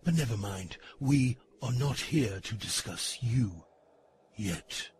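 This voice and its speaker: A man voicing a mocking, imperious dark prince in a gothic audio drama, sardonic and haughty. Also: mid-range voice, animated, slow pace, a little hiss or hum. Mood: sardonic menace.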